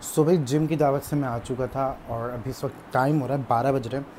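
A young man chatting casually to a phone camera held at arm's length, in an excited vlog style. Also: mid-range voice, brisk, slight background noise. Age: young adult. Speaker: vlogger. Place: indoors at home at night, dim room. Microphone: phone held at arm's length, earphones.

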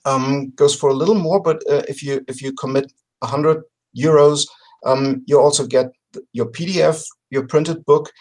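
A middle-aged man talking casually as an interview guest on a video call, often pausing to search for words. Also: fairly high pitch, steady tone, a little mellow. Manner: halting, word-searching delivery. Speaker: podcast guest presenting a crowdfunding campaign. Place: home room with bookshelves, on a video call.

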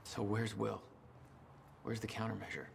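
A man playing an earnest, stubborn action hero in a video game, gravelly and intense. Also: fairly low pitch, little pitch movement, moderate pace, a little hiss or hum. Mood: determined. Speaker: video game character actor. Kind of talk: cinematic video game dramatic acting.

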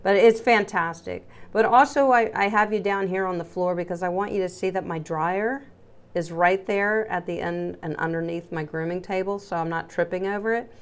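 Chatty middle-aged woman, slightly low voice. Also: fairly even intonation, normal speed, clean tone. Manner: chatty, emphatic, addressing viewers directly. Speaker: dog groomer presenting salon setup. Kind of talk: casual explainer vlog.

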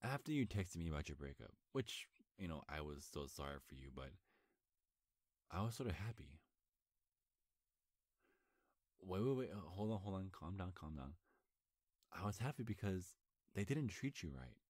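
A young man playing a nervous, lovestruck best friend in an intimate ASMR roleplay, speaking earnestly with a halting stammer. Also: low voice, sing-song, darkish tone, no echo, clean studio sound. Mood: earnest, indignant.

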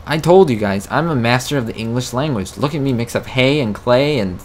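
A man's voice: mid-range voice, expressive, brisk, slight background noise. A man giving casual, self-mocking commentary while playing a farming game, chatting to his viewers. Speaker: gameplay commentator. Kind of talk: casual let's-play commentary.

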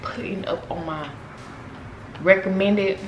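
A woman's voice: medium pitch, steady tone, slow pace, roomy sound, dull audio, a little hiss or hum. A young woman chatting candidly to her viewers and her dog in a casual vlog. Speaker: vlogger. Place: apartment rooms and a parked car.

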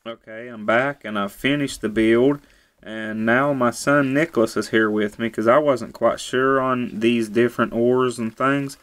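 A man casually reviewing a toy set at home, relaxed and easygoing, often repeating how cool it is. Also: mid-range voice, measured pace. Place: indoors at a table.

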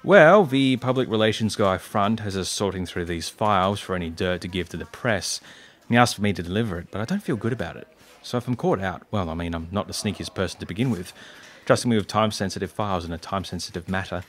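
A jittery junior government aide, unsure of himself and forever hedging, in a radio comedy. A man plays him with a very low, deep voice. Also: fast talker. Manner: hesitant, self-doubting.

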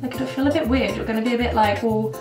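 A young woman in her twenties, losing her voice and croaky, chatting casually and self-mockingly through a makeup tutorial. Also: fairly high pitch, steady tone, fast, echoey room, distant mic, music in the background. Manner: chatty, self-mocking, rambling with many ums. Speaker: beauty vlogger. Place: indoors, plain grey backdrop.

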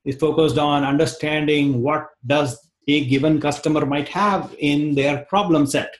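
A man in his forties with an Indian accent, teaching an online class over a video call, talking quickly and restarting phrases. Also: fairly high pitch, fairly even intonation. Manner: fast-talking, repeats words, restarts phrases. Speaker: instructor. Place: home room, indoors. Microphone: webcam on video call.

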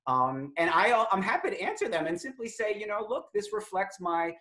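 A middle-aged man from Greater Boston with a Boston accent, explaining language on a video call from his home study, articulate and measured with frequent fillers. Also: sits high, big pitch swings, pushed and bright. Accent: Boston, non-rhotic. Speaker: lexicographer guest. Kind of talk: thoughtful conversational explaining.

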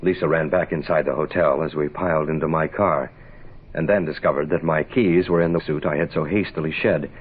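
An actor playing a world-weary, hard-boiled private investigator, narrating tersely in a 1960s radio detective drama. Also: male voice, very deep voice, steady tone, a little fast, slightly rough. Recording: muffled, noisy 1960s radio recording.

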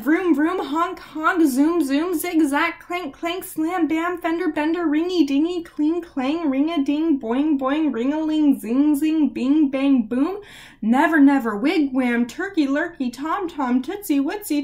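A flustered schoolteacher, played by a woman reading aloud, rattles off rhyming nonsense in a bouncy sing-song. Her voice sits high and bright, well above a usual speaking voice. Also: unhurried. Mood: breathless, gleeful.

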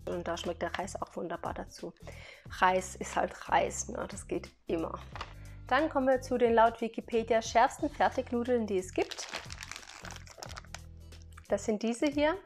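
A woman's voice: fairly high pitch, measured pace, pauses often, a little mellow, soft background music. A woman talking casually to her viewers in a product haul vlog, chatty, laughing now and then between remarks as she explains each item. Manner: explains items one by one to viewers. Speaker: vlogger.

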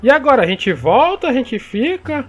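A young Brazilian man with a Brazilian Portuguese accent, chatting excitedly and joking while playing a truck game online. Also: very high voice, normal speed, soft background music. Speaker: gaming YouTuber. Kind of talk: casual gameplay commentary.

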